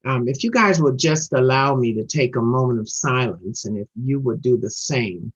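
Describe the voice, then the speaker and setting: Husky woman sharing earnestly. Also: rumbling low, animated, moderate pace. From Trinidad and Tobago. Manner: earnest, repeats words while building momentum. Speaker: guest speaker at a recovery meeting. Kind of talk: personal recovery share. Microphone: video call. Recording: dull lo-fi video call audio.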